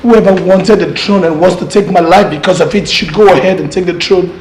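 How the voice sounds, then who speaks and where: An actor playing a tired, pained prince who wants to walk away from the throne. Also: male voice, mid-range voice, normal speed, reverberant, music in the background, close to an everyday voice. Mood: anguished. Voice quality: earnest, pained. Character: weary prince ready to give up the throne.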